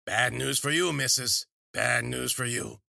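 A man's voice: medium pitch, a little slow, gravelly, sharp tone, no echo, clean studio sound, pitched above the performer's own voice, exaggerated voice. A man voicing a spiteful old woman witch, scolding and cursing.

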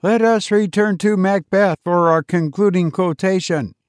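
A man voicing a calm, strict robot teacher who speaks flatly and without feeling. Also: sits high, clean tone, no echo, studio quality, pitched above the performer's own voice. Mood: instructive. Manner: flat, unfeeling, measured.

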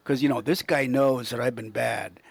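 A man's voice: medium pitch, steady tone, normal speed, vocal fry, breathy edge, dry recording. An older man who performs as Santa, chatting casually and earnestly in a rambling way, often repeating his words. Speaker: professional Santa Claus performer, interview guest. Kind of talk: casual anecdotal chat.

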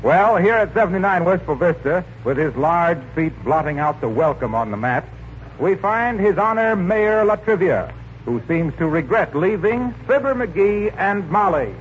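A man's voice: sits high, expressive, moderate pace. A man working as a radio announcer, reading scripted narration and sponsor copy in 1940s style. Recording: dull, noisy 1940s radio recording.

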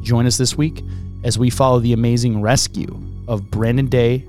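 A man's voice: medium pitch, fairly even intonation, normal speed, darkish tone, soft background music. A man co-hosting a podcast in casual chat, jokey and upbeat. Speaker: podcast co-host.

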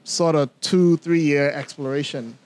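A Bahamian man with a mixed Bahamian and American accent, talking as a filmmaker guest in a casual podcast conversation, thoughtful and measured. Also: fairly high pitch, steady tone, a little mellow. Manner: reflective, measured storytelling. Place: outdoors beside a street.

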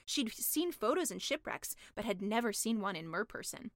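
A woman reading a comic fairy tale as a scripted storybook narrator, expressive and mock-dramatic. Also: medium pitch, normal speed, dry recording, studio quality. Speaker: author reading own story.

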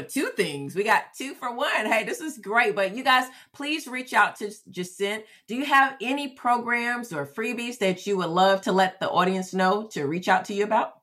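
A woman's voice: mid-range voice, pushed and bright. A woman hosting a casual live podcast, encouraging and full of thanks to her guest. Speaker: podcast host.

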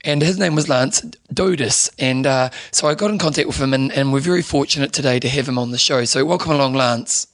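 A hoarse man, his voice strained and worn, talking through his podcast in a loose, rambling way. His pitch sits in a middling range, and the recording is close and dry with no room sound. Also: a little fast, hardly any pauses, no room sound. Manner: rambling, many restarts and fillers. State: strained, losing voice. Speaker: podcast host. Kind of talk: casual podcast monologue.

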